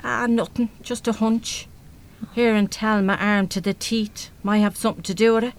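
A woman's voice: mid-range voice, steady tone, normal speed, close to an everyday voice. An actress with an Irish accent playing a brash, big-hearted, uneducated working-class mother in a live radio play, talking bluntly and colloquially with mild swearing. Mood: wry, grumbling.

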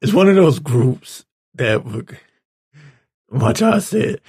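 A man with a raspy, hoarse voice giving an informal opinion rant as a commentator, halting, blunt and exasperated. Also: a little high, sing-song, darkish tone. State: hoarse, clearing his throat. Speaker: political commentator reading tweets and articles.

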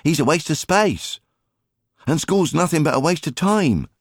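A man's voice: fairly high pitch, expressive, a little slow, dry recording, clean studio sound, pitched above the performer's own voice. A man voicing a young schoolboy in an audiobook, whining and insistent as he argues his case. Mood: pleading, indignant. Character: young schoolboy pleading and arguing with his mother. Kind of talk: audiobook character acting.

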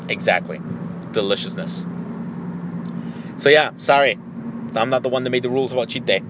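A man's voice: medium pitch, normal speed. A man in his thirties or older talking casually to himself as a podcast host, in a rambling, conversational, mildly profane way. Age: 30s or older. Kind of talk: casual solo monologue, personal anecdotes. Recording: muffled lo-fi audio, loud car noise. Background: loud vehicle and road noise.

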